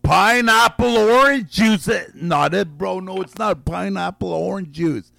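Slow gravelly man hosting a call-in game. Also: high-pitched, pushed and bright, dry recording. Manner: drawn-out, repeats each guess slowly, chuckles. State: losing his voice. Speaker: radio game show host. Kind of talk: call-in guessing game on air.

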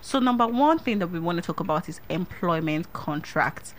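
A young Nigerian woman hosting a radio talk show in a studio, chatty and quick to laugh, with a small light voice. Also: on the low side, expressive.

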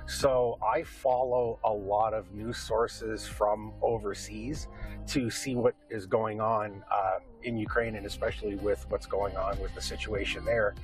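A man's voice: lowish voice, steady tone, unhurried, faint music behind, low-quality recording. A Canadian man in his fifties, answering interview questions in an earnest, rambling, conversational way. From Windsor, Canada. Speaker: interview guest.

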